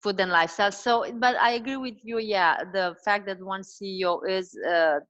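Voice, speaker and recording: A woman's voice: mid-range voice, normal speed, highs cut off. A woman in her forties from Romania with a Romanian accent, talking as a dietitian guest in a casual interview, with many fillers and restarts. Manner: warm, animated, frequent fillers and restarts.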